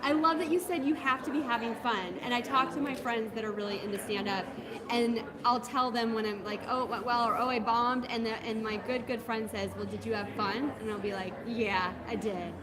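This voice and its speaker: A woman's voice: fairly high pitch, fairly even intonation, a little fast, a crowd in the background. A woman in her thirties, a comedian chatting casually on a livestream, bubbly and enthusiastic. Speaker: comedian and online video creator.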